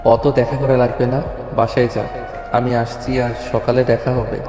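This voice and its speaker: A man's voice: medium pitch, moderate pace, lightly acted. A man playing a cool, stubborn, protective young husband in an audio story drama, speaking firmly and tersely. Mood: calm, firm. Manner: flat, firm, terse. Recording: echoey reverberant hall, music underneath.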